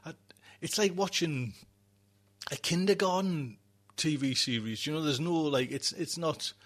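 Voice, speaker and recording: A man with a very bright, forceful voice chats as a podcast host. He talks conversationally and loosely, circling back over his phrases and leaving thoughts unfinished. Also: a little high, a little slow. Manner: conversational, repeats himself, trails off mid-thought. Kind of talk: casual rambling chat.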